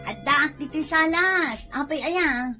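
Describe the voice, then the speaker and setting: A woman's voice: high-pitched, a little slow, over music. A woman with an Ilocano accent playing an exasperated, nagging village wife in a comedy radio drama, complaining as she talks. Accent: Ilocano, northern Philippines. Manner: scolding, complaining.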